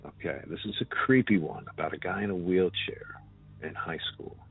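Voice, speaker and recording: A man's voice: low voice, normal speed, heavy background noise. A man hosting a horror story show in a scripted, conversational introduction. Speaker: host of a horror story channel. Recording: phone-like band, music clearly behind.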